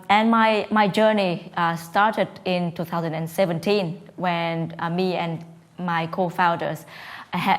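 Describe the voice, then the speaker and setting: A woman from Vietnam with a Vietnamese accent, a startup co-founder speaking on a panel, hesitant with many fillers and restarts. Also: fairly low pitch. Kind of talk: prepared panel talk.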